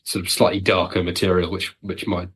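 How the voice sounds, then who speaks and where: Guy with a lowish voice, writer on a podcast, chatty n wry, acts out what people say. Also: level tone, a little fast, fairly bright tone, breathy edge. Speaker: audio drama writer, podcast guest. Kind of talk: casual interview chat.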